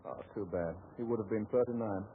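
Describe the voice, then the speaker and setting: An actor playing a conniving, mock-helpful sidekick in a 1940s radio comedy. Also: male voice, on the low side, brisk, close to an everyday voice. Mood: scheming, mock-concerned. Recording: very noisy, tinny vintage radio recording.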